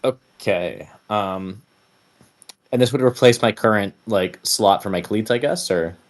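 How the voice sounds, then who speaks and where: A man's voice: fairly low pitch, a little slow. A man taking part as a player in a tabletop game, casually asking questions.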